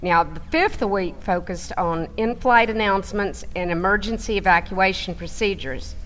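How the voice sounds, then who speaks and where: A woman's voice: mid-range voice, normal speed, faint music behind. Woman storyteller in a reverberant hall sound. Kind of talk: dramatic first-person storytelling.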